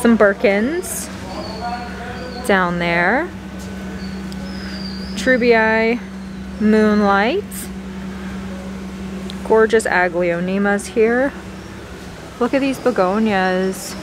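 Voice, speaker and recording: Woman, medium pitch, casual vlog commentary, appreciative browsing murmur, handheld camera audio, noticeable ventilation noise in a big store. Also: very slow, pauses often. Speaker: vlogger, host. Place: large indoor garden center of a store. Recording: clear store background noise, ventilation hum.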